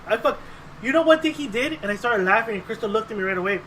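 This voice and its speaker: A man's voice: sits high, quick pace, slight background noise, rough recording. A man chatting casually as a podcast co-host, rambling and profane, acting out quotes as he tells stories. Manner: profane, rambling, animated storytelling with acted-out quotes. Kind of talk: casual chat.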